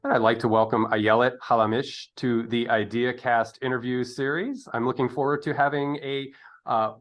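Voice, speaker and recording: A man hosting an interview show in a conversational style, with halting, rambling delivery and chatty asides. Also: medium pitch, level tone, soft background music.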